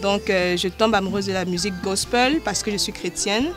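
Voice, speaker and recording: A woman's voice: mid-range voice, a little fast, music in the background. A woman with a Cameroonian accent speaking as an interviewed gospel artist, in an earnest, devout manner. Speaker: gospel artist and choir director being interviewed. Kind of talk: interview talk about life and music.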